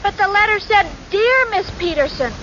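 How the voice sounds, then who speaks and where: A muffled, noisy old radio recording carries a scatterbrained young secretary. Her voice is extremely high. She is guileless and literal-minded, sweetly unbothered in vintage sitcom style. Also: expressive, normal speed. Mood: puzzled, guileless. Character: dim-witted, sweetly naive young secretary, unflappably cheerful. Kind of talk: 1940s radio comedy acting.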